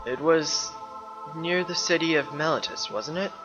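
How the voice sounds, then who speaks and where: A man playing a calm, loyal lion-man warrior, speaking in measured, formal phrases in a fan-made fantasy drama. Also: fairly high pitch, dull audio, loud music behind the voice, natural voice. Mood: measured, gently insistent. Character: calm, loyal male leonin planeswalker, steadfast friend. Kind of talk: dramatic dialogue in a fan-made motion comic.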